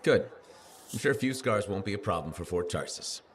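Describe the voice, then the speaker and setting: An actor playing a confident young freelance pilot in a video game, casual and straight-talking with a dry wit. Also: male voice, fairly low pitch, expressive, a little fast, a little hiss or hum, lightly acted. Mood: earnest. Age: young adult. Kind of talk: scripted video game dialogue.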